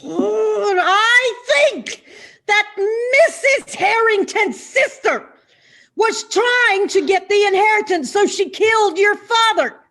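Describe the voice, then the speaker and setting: A bold, determined lady reporter from a stage farce, acted by a woman. Her voice is extremely high and slow, with melodramatic, deliberate phrasing. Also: fairly bright tone, exaggerated voice. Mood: accusing.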